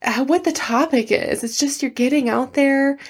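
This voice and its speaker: A woman hosts her own podcast and talks alone in an earnest, heartfelt way. Her voice is soft and slightly high. She leans on fillers like "you know" as she goes. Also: normal speed. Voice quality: soft voice that is not loud. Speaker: podcast host. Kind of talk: casual solo podcast talk.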